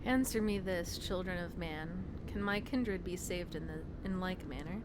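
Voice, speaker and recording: A woman's voice: fairly low pitch, moderate pace, noisy background, natural voice. A woman voicing an ancient, grieving female game dragon, solemn and stately in archaic phrasing. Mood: grave. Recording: loud game music behind voice.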